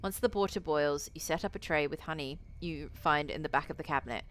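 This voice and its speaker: Low-voiced woman streamer reading a story aloud. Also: brisk. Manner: sweary, excitable reactions in asides. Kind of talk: reading a visual novel aloud with casual asides.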